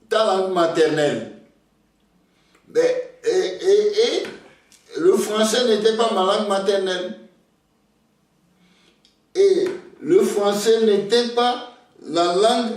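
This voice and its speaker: Slow older man, very echoey room. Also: high-pitched, recorded from a distance. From Togo. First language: Ewe. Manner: slow, hesitant, repeats words when starting sentences. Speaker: interviewee, elder. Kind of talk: slow reflective storytelling.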